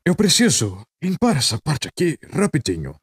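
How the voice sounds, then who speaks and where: A man's voice: a little high, huge pitch swings, normal speed, husky, heavily acted. A man with a Brazilian accent voicing a lazy, self-important talking cat mascot in a comedic cartoon fandub, pompous and dismissive. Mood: dismissive, unbothered.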